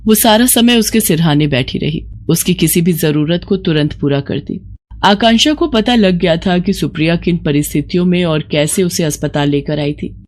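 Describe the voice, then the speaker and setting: A woman's voice: fairly low pitch, moderate pace. A woman narrating a scripted moral story as a storyteller.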